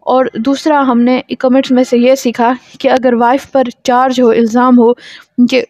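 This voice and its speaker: A woman law lecturer, reading legal passages slowly and then explaining them to students. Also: a little high, darkish tone, no echo, dull audio. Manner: reads English passages slowly, then explains in Urdu-style Hindi.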